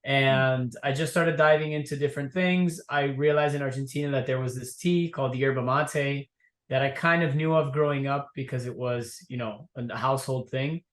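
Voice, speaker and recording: A young man from Miami, a guest entrepreneur, telling his story in a relaxed, easygoing way. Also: mid-range voice, moderate pace, echoey room. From Miami, USA. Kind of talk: casual interview.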